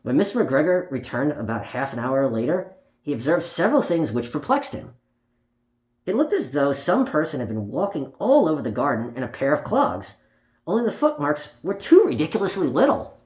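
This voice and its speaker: A man reading a children's story aloud in a playful, child-directed way, chatting to his young listeners as he goes. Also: on the high side. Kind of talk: read-aloud children's picture book with playful asides. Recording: roomy home recording with faint noise.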